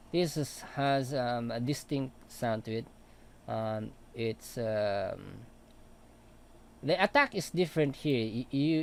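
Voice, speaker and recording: A young man with a baritone voice, talking through a vocal mix with a relaxed, hesitant manner and many fillers. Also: creaky, a little hiss or hum. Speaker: audio mixing tutor. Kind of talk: casual walkthrough of vocal mixing plugins.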